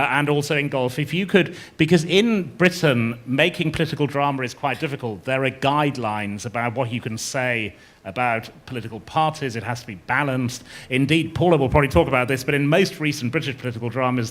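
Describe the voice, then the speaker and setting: A man's voice: mid-range voice, brisk, a bit bright. A man moderating an on-stage panel, putting questions with stammers, asides and joking apologies. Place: on stage before an audience.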